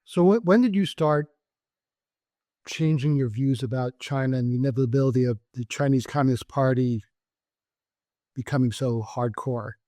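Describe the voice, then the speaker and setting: A man's voice: mid-range voice, moderate pace, long pauses, dark tone, no echo, clean studio sound. A man co-hosting a podcast, asking a brief, direct question. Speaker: podcast co-host.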